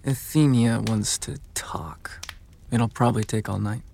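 A man's voice: medium pitch, a little slow, creaky, warm tone. An actor playing a guarded, commanding male seer-ruler in a fantasy audio drama, speaking tersely. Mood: commanding, cold.

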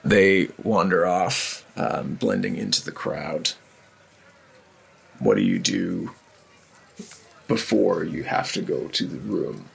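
A man narrating as game master of a tabletop game, in loose, halting narration full of fillers. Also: rumbling low, very animated, vocal fry, husky, low-quality recording.

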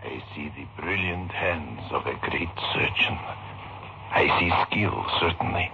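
An actor playing a grave, ominous occult palm reader, speaking in measured, portentous phrases in an old-time radio horror play. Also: male voice, very deep voice, creaky, heavily acted. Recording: muffled, noisy old radio recording.

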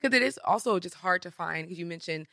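A woman's voice: fairly low pitch, fast talker, pushed and bright, no room sound, studio quality. A young woman hosting a podcast, chatting enthusiastically with her guest in a casual interview. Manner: enthusiastic, gushing. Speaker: podcast host. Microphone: studio mic.

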